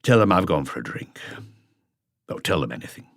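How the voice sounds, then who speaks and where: A man voicing a world-weary veteran police inspector in an audiobook, terse, measured and reflective. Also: low voice, big pitch swings, no room sound, studio quality. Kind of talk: audiobook character dialogue.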